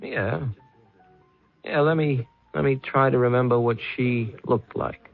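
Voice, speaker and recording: Gravelly streetwise nightclub man. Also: medium pitch, faint music behind. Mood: gossipy. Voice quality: gravelly, raspy. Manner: rambling, halting, slangy. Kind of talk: 1970s radio drama acting. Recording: tinny old radio broadcast.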